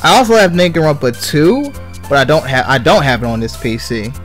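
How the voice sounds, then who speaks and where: A man's voice: fairly high pitch, animated, brisk, soft background music. A man chatting casually as he livestreams a game, rambling and often repeating his phrases. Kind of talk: casual gaming stream chat.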